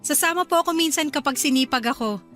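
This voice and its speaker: A sweet young bride in a radio drama, soft and polite in her speech. Her voice is high and light, with faint music playing under the scene. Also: quick pace. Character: sweet young newlywed wife, polite and gentle. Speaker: young wife.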